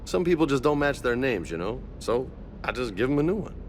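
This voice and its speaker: A man's voice: medium pitch, big pitch swings, a little fast, a little hiss or hum, lightly acted. A man playing a cocky, easygoing young marine in a science fiction video game, casual and slangy. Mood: relaxed.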